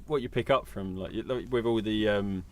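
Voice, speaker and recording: A man's voice: lowish voice, a little fast, darkish tone, a little hiss or hum. A man co-hosting a podcast in casual, conversational chat.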